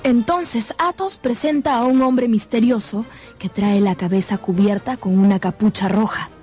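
A female narrator with a fairly high voice tells a radio drama. Her delivery is scripted and dramatic, set over clearly audible music. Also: moderate pace, mellow.

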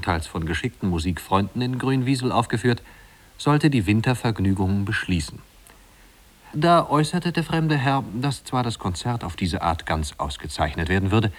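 An actor playing a measured, gently ironic storybook narrator in a 1950s-style German radio play. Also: male voice, medium pitch.

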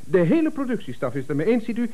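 A flustered, deferential office man in a seventies radio comedy. His high voice leaps up and down as he stammers and blusters, desperate to please. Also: sing-song, very fast, dark tone. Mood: nervous, flustered. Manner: stammering, deferential, over-eager. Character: flustered, eager-to-please male office subordinate with timid bluster. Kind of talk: 1970s Dutch radio comedy acting.

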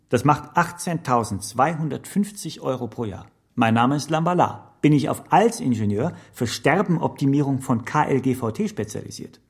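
Husky, wildly animated corporate pitchman. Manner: salesman-like, stilted broken phrasing. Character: eager self-promoting corporate networker pitching himself in garbled language. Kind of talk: satirical radio play sales pitch.

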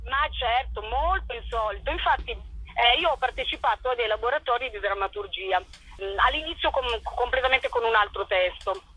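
A woman answering interview questions as a playwright and performer, in a colloquial, animated storytelling way. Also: fairly high pitch, normal speed, breathy edge, low-quality recording.

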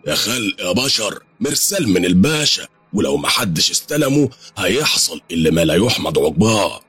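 A man's voice: mid-range voice, big pitch swings, brisk, a bit bright, slightly rough, soft background music, voice pushed up, full character voice. A man voicing a jittery, dim-witted male messenger who announces in Egyptian Arabic. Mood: flustered. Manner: flustered, announcing.

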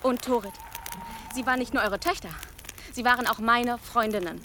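A woman's voice: fairly high pitch, normal speed, a bit bright, a little hiss or hum, lightly acted. An actress playing a fierce, defiant young village woman archer in a German radio drama, resolute and challenging. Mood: defiant, bitter.

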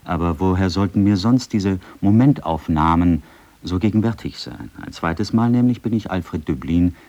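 A man's voice: deep voice, lively intonation, moderate pace, mellow, dull audio. A man reading first-person accounts and quotations from a script for a radio feature.

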